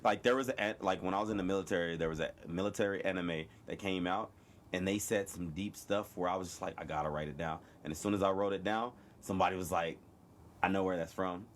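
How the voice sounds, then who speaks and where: A young man co-hosting a podcast, telling stories in a relaxed way and repeating words as he goes. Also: deep voice, fast talker, a little hiss or hum. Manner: laid-back, storytelling, repeats words. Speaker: podcast co-host. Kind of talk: casual chat.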